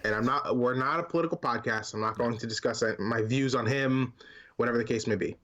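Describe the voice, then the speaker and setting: A man's voice: medium pitch, fast, a bit bright. An opinionated man chats casually as a podcast co-host. His voice sits in a medium register, and he puts firm emphasis on his points in a conversational, unscripted way.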